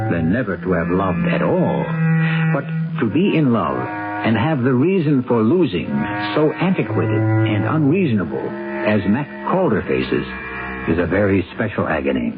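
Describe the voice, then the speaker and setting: A man narrating as host of a seventies radio drama in a measured, theatrical, portentous manner. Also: lowish voice, very animated. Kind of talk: scripted 1970s radio narration. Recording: tinny radio recording with loud music.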